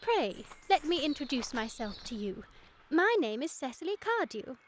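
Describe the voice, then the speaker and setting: A woman's voice: high-pitched, very animated, moderate pace, slight background noise. A British woman playing a sweet, dreamy young lady in a comic period radio play, speaking in a prim, precise way. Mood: polite, composed.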